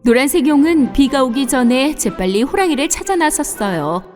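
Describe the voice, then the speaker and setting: A woman narrating a scripted fairy tale for children in an expressive storybook manner. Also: high voice, moderate pace, faint music behind.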